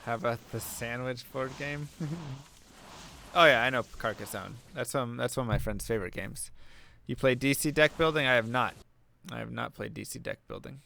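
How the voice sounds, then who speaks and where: A man's voice: mid-range voice, moderate pace, creaky, bright, forceful tone, slight background noise. A young man streaming a video game, casually chatting and answering viewers' questions aloud, saying he is losing his voice. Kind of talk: casual chat while playing, answering viewer chat.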